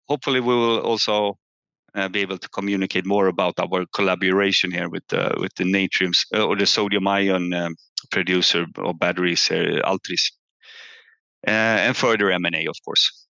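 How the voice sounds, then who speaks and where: A man presenting company results as an executive on a call, halting and often repeating words as he searches for phrasing. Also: sits low, vocal fry, bright, forceful tone. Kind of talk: earnings call presentation and answers. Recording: muffled, echoey room on online call.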